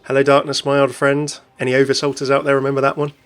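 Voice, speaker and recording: A man's voice: medium pitch, completely flat delivery, fast talker. A man narrating a gaming commentary voice-over. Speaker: video creator, narrator. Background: faint music.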